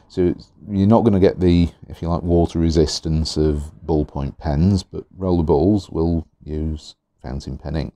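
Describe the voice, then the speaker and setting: Deep-voiced man reviewing pens. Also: dark tone, no echo. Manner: chatty, unhurried, with fillers and repeats. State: slightly hoarse. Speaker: fountain pen reviewer. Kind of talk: relaxed product review. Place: indoors at a desk. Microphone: overhead camera on the hands, speaker not in frame.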